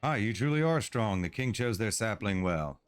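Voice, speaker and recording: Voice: medium pitch, lively intonation, moderate pace, smooth, natural voice. An actor voicing a proud, aloof fae guardian in a game cutscene, theatrical in manner, over faint game music. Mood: approving.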